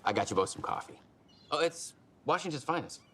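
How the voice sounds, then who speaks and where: An actor playing an affable, folksy small-town sheriff in a game cutscene. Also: male voice, on the high side, animated, fairly quick, bright, forceful tone, slight background noise. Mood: friendly.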